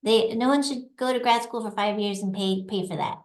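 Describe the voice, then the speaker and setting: A woman's voice: mid-range voice, brisk, echoey room, low-quality recording. A middle-aged woman, a university dean, chatting through her answers, laughing easily and correcting herself as she goes. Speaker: assistant dean of a graduate school, guest. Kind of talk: conversational interview answers.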